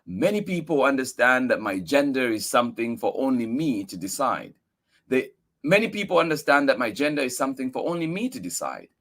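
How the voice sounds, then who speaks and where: Guy doing storytime for kids, reads earnest and emphatic, pitch goes all over the place. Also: very animated, a little fast, a bit bright. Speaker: storyteller reading a picture book aloud. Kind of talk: expressive read-aloud for children.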